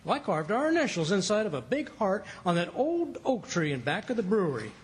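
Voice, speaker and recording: A man acts out a fibbing, folksy husband from a 1940s radio comedy. His voice is high and animated with a husky rasp, full of bluster and slangy, flustered excuses. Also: a little fast, heavily acted. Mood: boastful.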